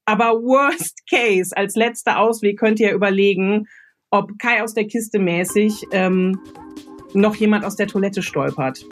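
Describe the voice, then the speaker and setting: A woman's voice: mid-range voice, unhurried, soft background music. A woman giving spontaneous, encouraging advice as a guest writer, often searching for her words. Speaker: guest radio drama author giving advice. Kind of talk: spontaneous advice in casual conversation.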